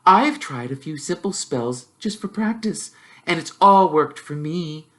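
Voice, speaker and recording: A man voicing a bossy, know-it-all young girl witch who chatters in a prim, brisk, self-assured way. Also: a little high, lively intonation, a bit bright, pitched above the performer's own voice. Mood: eager, boastful.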